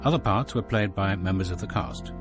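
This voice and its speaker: Formal announcer for credits; very low voice over music. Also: male voice, a little fast. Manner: formal, even. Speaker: radio announcer. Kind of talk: reading closing credits.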